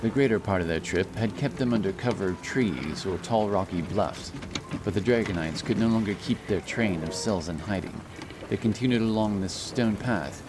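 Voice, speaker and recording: A man with a very low voice narrates a fantasy tale. His delivery is solemn and measured, with music clearly playing underneath. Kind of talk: scripted fantasy audiobook narration. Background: music and rain sound effects.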